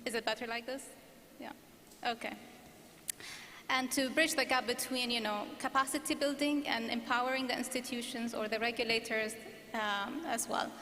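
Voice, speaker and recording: A woman from Lebanon, an education consultant, speaking on a conference panel in a measured, explanatory way. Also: on the high side, fairly even intonation, sharp tone. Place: conference hall. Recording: echoey hall with background noise.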